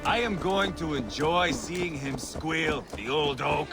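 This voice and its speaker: Casting: cocky, coarse Viking killer for a game; highish male pitch, unhurried, music underneath. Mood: gloating. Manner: taunting, coarse, theatrical. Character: swaggering, bloodthirsty Viking warrior, crude and mocking. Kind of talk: video game character acting.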